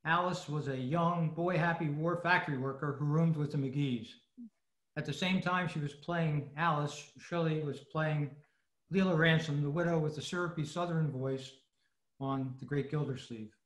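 A man's voice: fairly high pitch, moderate pace, a bit bright. A man giving a prepared lecture as presenter on a video call. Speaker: presenter at an old-time radio club meeting. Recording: roomy, echoey video-call sound.

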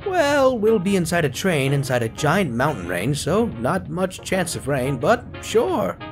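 A man's voice: fairly high pitch, huge pitch swings, measured pace, over music, full character voice. Man doing a prim, formal train engineer. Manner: polite customer-service formality. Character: stiff, formal male elf train engineer. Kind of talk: comic dub voice acting.

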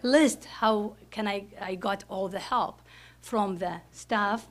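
A woman's voice: mid-range voice, takes it slowly. Hesitant woman. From Iraq. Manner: hesitant, searching for words. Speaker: parent speaker. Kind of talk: personal speech at a podium. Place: school classroom. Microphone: podium microphone.

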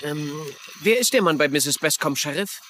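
An actor playing a persistent, polite investigator in a dubbed crime drama, asking probing questions. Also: male voice, a little high, lively intonation, moderate pace, sharp tone. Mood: brisk. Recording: faint noise under dialogue.

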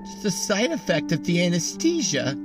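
A man's voice: high-pitched, wildly swooping pitch, moderate pace. A man talking casually, telling things in an informal way. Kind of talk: casual chat. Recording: loud music over the voice.

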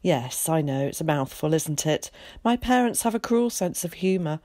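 A woman voicing a confident, talkative young schoolboy who chatters quickly and brightly in a well-spoken way. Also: low voice, expressive, no room sound, studio quality. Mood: eager.

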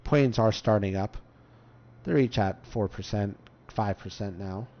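Low-voiced man hosting a casual tutorial. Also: unhurried. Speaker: tutorial host. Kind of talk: casual game tutorial commentary. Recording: slightly dull, muffled microphone.

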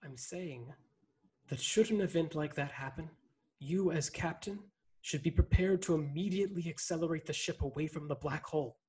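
A young man playing a resolute, self-sacrificing starship ensign in a science-fiction radio play, speaking formally and with measured phrasing. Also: on the high side, a little mellow, husky. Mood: earnest. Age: young adult. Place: indoors at home, video call. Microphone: desk condenser microphone, close.

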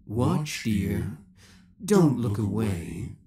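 A man's voice: mid-range voice, sing-song, drawn-out words, mellow, a little hiss or hum. A man playing an obsessive young villain in a roleplay audio drama, theatrical and formal, taunting with cold affection. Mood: menacing, mocking. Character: obsessive young male villain, possessive, menacing, coldly affectionate.

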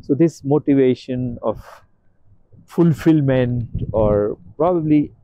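A man's voice: medium pitch, slow, dark tone, lo-fi sound, slight background noise. An older man, a guest in an outdoor interview, speaking earnestly and emphatically, often repeating himself for emphasis, in a stone courtyard.

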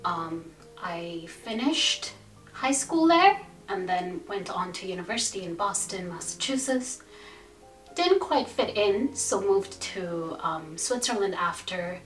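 A woman in her twenties from India talks about her life fluently and thoughtfully. Her voice sits a bit low. She sounds a little far from the mic in a roomy indoor room, with faint music beneath. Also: expressive, slow pace, fairly bright tone. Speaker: interviewee. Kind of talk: casual interview answers.

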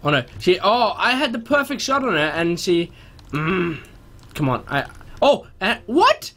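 Excitable young man, higher-pitched, gaming commentary. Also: unhurried. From born in New Zealand, spent first three years there. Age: young adult. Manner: animated, self-interrupting, prone to exclamations. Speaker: gaming video host. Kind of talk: casual, excitable gameplay commentary. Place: small room at home, indoors.

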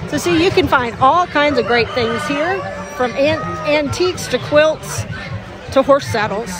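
A woman's voice: sits high, animated, measured pace. A woman chatting casually to viewers as she walks around and films. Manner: chatty, conversational, talks to viewers. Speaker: vlogger. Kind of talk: casual walking tour commentary. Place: outdoor livestock auction grounds. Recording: outdoor crowd noise. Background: crowd chatter.

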